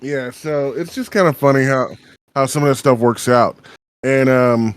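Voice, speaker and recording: A man's voice: mid-range voice, moderate pace, smooth. A man chatting on a podcast in a small home studio, loose and rambling, dropping curses and fillers as he goes. His voice sits in a middle register and the sound is dry and close, with no room echo. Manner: rambling, profane, lots of fillers. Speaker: podcast co-host. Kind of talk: casual podcast chat.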